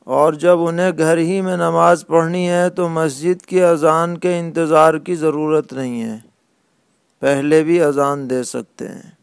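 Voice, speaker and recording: A man who speaks as an Islamic scholar, answering religious questions in a measured, deliberate way with pauses mid-sentence. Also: a little high, steady tone, vocal fry, smooth, no echo. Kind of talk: religious question-and-answer lecture in plain rulings.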